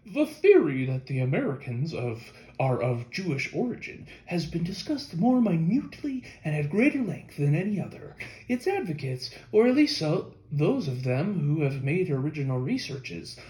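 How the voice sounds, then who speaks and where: A man's voice: a little high, a little mellow. A man reading a nonfiction history book aloud as scripted audiobook narration. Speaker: audiobook reader. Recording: echoey room.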